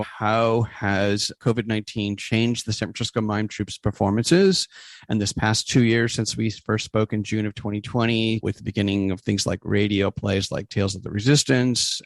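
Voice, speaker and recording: A man's voice: on the low side, gravelly, no echo. A man hosting an interview in a conversational style. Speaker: interview host.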